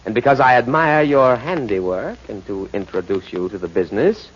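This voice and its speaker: An actor playing a smug, boastful crime boss with a deliberate, commanding manner in a 1940s radio drama. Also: male voice, lowish voice, lively intonation, close to an everyday voice. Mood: smooth menace. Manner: deliberate, commanding, repeats himself. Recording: muffled, noisy 1940s radio recording.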